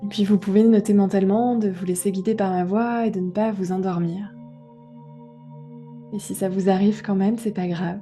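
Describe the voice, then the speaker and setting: A woman guiding a relaxation meditation, giving gentle, unhurried instructions with pauses. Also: medium pitch, fairly even intonation, faint music behind. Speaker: yoga nidra guide.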